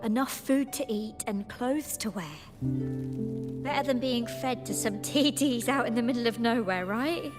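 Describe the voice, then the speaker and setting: Lady doing a blunt practical exile woman in a game, highish voice, no nonsense, music super loud. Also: measured pace, close to an everyday voice. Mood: gruff consoling. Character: blunt, pragmatic banished woman consoling a companion. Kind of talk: video game voice acting.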